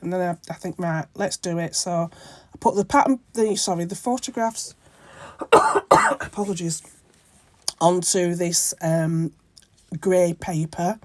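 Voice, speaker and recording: A woman narrates her crafting project in a loose, rambling way, full of ums and restarts. Her voice is croaky from a cold and sits low for a woman. The sound is dry and close, with no room around it. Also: moderate pace, no echo. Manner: rambling, many ums and self-corrections. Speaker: craft channel host. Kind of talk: casual crafting tutorial narration.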